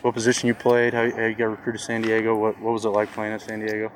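A man's voice: on the low side, monotone, quick pace, poor audio. A man conducting casual interviews, with lots of short acknowledgments. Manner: friendly, frequent backchannels.

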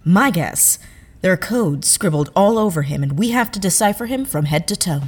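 An actress playing a brisk, curious female intelligence agent in an audio drama, speaking in a commanding way. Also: lowish voice, expressive, husky. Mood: focused, curious.

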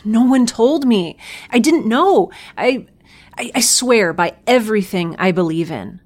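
A woman playing an earnest, defensive scientist in an audio drama, talking in a sincere, halting, wry way. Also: a little high, expressive. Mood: pleading. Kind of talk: audio drama acting, conversational.

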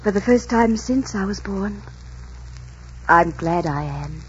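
An actress playing a romantic, spirited young innkeeper's daughter in a dreamy, melodramatic 1940s radio style. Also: female voice, medium pitch, animated, takes it slowly, breathy edge, heavily acted. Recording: muffled 1940s radio recording, music behind.

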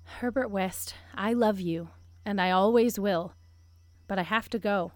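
A woman's voice: mid-range voice, a little slow, no echo, natural voice. A woman playing a determined, wry scientist-adventurer, a wife and mother, in an audio drama with earnest delivery. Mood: earnest, tender.

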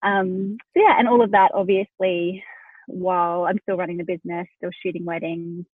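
Aussie woman in her 30s rambling on a podcast, husky voice, thin phone-ish sound. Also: lowish voice, level tone, normal speed, dry recording, phone-quality sound. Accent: Australian. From Australia. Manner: chatty, many fillers and restarts. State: pregnant. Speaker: podcast guest, wedding photographer. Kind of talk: casual interview chat.

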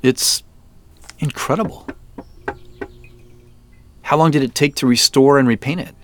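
A man's voice: mid-range voice, unhurried, lightly acted. An actor playing a polite, easily impressed man visiting from the city in an audio drama. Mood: amazed. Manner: courteous, impressed. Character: Joseph, polite, wide-eyed grown visitor from the city. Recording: faint noise with birdsong.

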